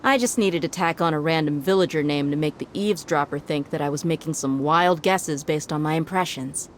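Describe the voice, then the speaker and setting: A woman's voice: low-pitched, fairly quick, a little hiss or hum, close to an everyday voice. A woman voicing a confident female desert mercenary in a game cutscene, cocky and teasing. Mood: smug. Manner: cocky, teasing, laid-back. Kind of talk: video game cutscene dialogue.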